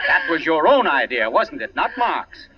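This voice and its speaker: An actor playing a flamboyant, ruthless amateur sleuth with pompous, taunting delivery in 1940s radio drama style. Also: male voice, a little high, very animated, normal speed, a loud crowd. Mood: accusing, triumphant. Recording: muffled old radio recording, off mic.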